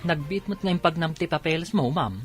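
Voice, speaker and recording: An actor playing a respectful, rueful family driver with a Filipino accent in an audio drama. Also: male voice, a little high, lively intonation, fairly quick, over music, natural voice. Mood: deferential, rueful. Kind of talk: Ilocano audio drama acting. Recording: faint noise behind the voice.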